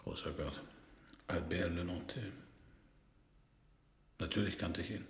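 Male, middle-aged hard-boiled detective, dry and sardonic, lowish voice, roomy radio-play sound. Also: fairly even intonation, measured pace, a little hiss or hum. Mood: wry. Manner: dry, wisecracking. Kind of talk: radio crime drama dialogue and first-person narration.

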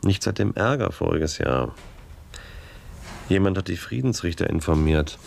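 A very deep, gravelly scheming police inspector. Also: male voice, expressive, moderate pace, a little mellow, slight background noise, full character voice. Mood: coaxing, insinuating. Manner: needling, insinuating, leading questions. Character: domineering, scheming male police inspector, coaxing and bullying. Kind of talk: radio play acting.

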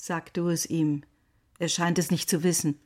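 An actress playing a calm, stiff mother in a radio play, speaking gently and soothingly. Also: sits low, normal speed. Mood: firm. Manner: gentle, soothing, whispering at times. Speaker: actor.

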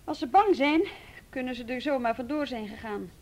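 A woman's voice: high voice, normal speed, a little mellow, slight background noise, natural voice. A woman acting a firm, patient, businesslike juvenile police detective in a naturalistic radio drama.

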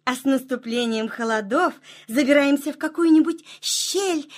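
Woman playing a very high-voiced, dainty butterfly. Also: normal speed, natural voice. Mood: gentle, explaining. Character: shy, sensitive, slightly vain female mourning-cloak butterfly. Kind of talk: children's audio play acting.

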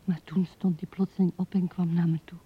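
A woman's voice: fairly low pitch, level tone. Een vrouw speelt een oude weduwe die mijmerend en haperend tegen haar overleden man praat in een hoorspel. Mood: fond reminiscing. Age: older. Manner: musing, halting, with breathy asides. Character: old widow musing tenderly to her dead husband. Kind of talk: radio drama monologue. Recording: dull, band-limited 1970s radio recording.

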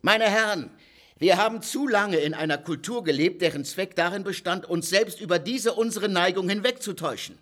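An actor playing a legal theorist who lectures a circle of gentlemen, emphatic and insistent. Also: male voice, sits high, moderate pace. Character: lecturing jurist, cold and insistent. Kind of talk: radio play acting.

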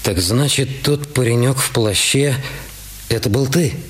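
An actor playing a middle-aged police inspector who asks blunt, skeptical questions in a radio drama. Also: male voice, mid-range voice, measured pace, husky. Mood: questioning. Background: music score.

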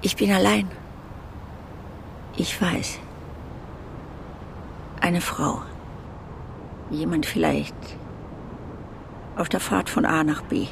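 A detached woman speaks haltingly in a radio drama monologue. Her voice has a creaky, gravelly edge and moves slowly in fragments, over a clear rumble of traffic. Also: exaggerated voice. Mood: halting, unsure. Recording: clear vehicle rumble behind voice.

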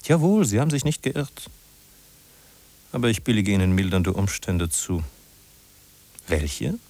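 An actor in a 1950s radio play, playing an insistent, accusing narrator who addresses the listener in an urgent, rhetorical way. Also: male voice, on the low side, big pitch swings, a little slow, a little mellow. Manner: urgent, rhetorical, polite but probing.

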